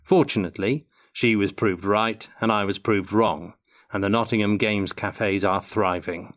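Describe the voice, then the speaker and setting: An older man giving measured, articulate answers as an interview guest in a prepared Q&A. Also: on the low side, fairly even intonation, fairly bright tone. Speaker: interview guest, tabletop game designer.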